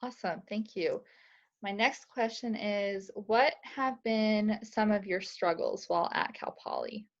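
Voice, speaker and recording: Gravelly-edged woman interviewer, slightly dull sound. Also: medium pitch, measured pace. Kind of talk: informal prepared interview questions.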